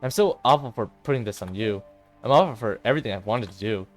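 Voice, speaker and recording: A despairing teenage girl, a club president weighed down by guilt acted by a man. The voice is quiet and hesitant over music, in the style of visual novel drama. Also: lowish voice, expressive, fast, natural voice. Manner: subdued, hesitant, self-blaming.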